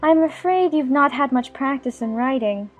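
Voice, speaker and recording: Woman voicing a polite little girl, high-pitched, inquisitive, careful diction, storybook acting, faint music behind. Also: lively intonation, normal speed. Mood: concerned, polite. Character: polite, curious young girl. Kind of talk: dramatized audiobook character acting.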